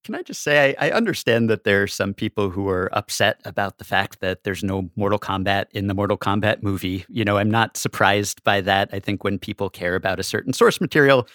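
A man's voice: lowish voice, a little fast, few pauses, fairly bright tone, no echo, studio quality. A man giving casual commentary as a guest on a podcast.

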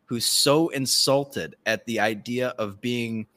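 A man's voice: medium pitch, slow pace, sharp tone. A man co-hosting a podcast in casual comedic banter, irreverent, profane and rambling. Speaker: podcast co-host.

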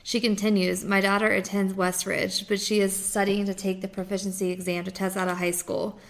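A woman reading a memoir chapter aloud in a steady, even way. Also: mid-range voice, fairly quick. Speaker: reader of a book chapter.

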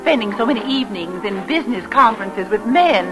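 A woman's voice: medium pitch, lively intonation, brisk, natural voice, low-quality recording. An actress playing a mature married lady who is fluttery, coy and girlishly gushing, in an old radio comedy. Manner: gushing, breathless hesitations, giggly. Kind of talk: 1940s-50s radio comedy acting. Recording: vintage radio recording, music behind.

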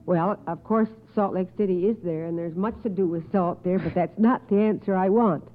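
A woman's voice: on the low side, moderate pace. A woman hosting an old radio quiz show in a courteous, schoolteacherly manner with precise enunciation, affirming contestants. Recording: muffled phone-like old radio recording.

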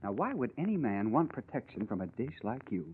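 An actor playing a flippant, insinuating party guest with a sly manner in an old-time radio drama. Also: male voice, mid-range voice, big pitch swings, a little fast, husky. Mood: needling. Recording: band-limited, tinny vintage radio recording.

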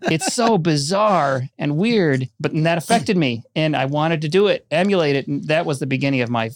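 Middle-aged Minnesota-raised man, filmmaker guest, wry and self-deprecating, slightly high voice, dry sound. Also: animated, normal speed, no room sound. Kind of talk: casual interview storytelling.

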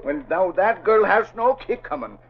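An actor playing a folksy, opinionated newspaper reporter in 1940s radio drama, with slangy, emphatic talk. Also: male voice, sits high, expressive, moderate pace. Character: folksy, plain-spoken, opinionated newspaper reporter, easygoing man. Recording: dull old radio recording.

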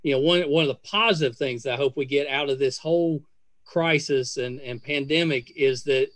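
A middle-aged man hosting a casual podcast interview, speaking in a gushing, effusive way with halting phrasing. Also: mid-range voice, fairly bright tone, dry recording. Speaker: podcast host interviewing guests.

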